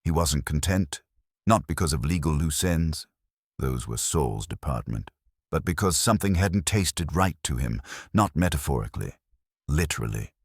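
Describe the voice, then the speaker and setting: A man's voice: a bass voice, lively intonation, normal speed, slightly rough, no echo, clean studio sound. A man narrating a scripted first-person detective story.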